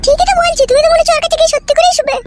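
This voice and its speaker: A woman's voice: very high voice, steady tone, very fast, heavy background noise. A woman voicing a jealous, demanding young wife who whines and nags in a comic cartoon.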